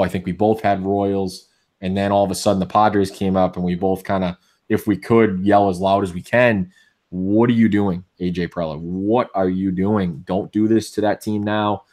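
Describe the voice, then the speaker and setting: A man with a Boston accent co-hosting a casual sports podcast, opinionated and full of restarts, with a voice going hoarse. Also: low voice, a little mellow. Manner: opinionated, many restarts and fillers. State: losing his voice. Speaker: podcast co-host.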